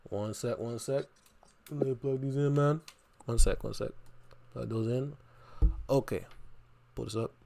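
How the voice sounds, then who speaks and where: A man's voice: medium pitch, fairly even intonation, measured pace, creaky, long pauses, dark tone. Insistent man on a debate stream. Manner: insistent, repeats his question, cuts in. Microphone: headphones plugged in, computer mic.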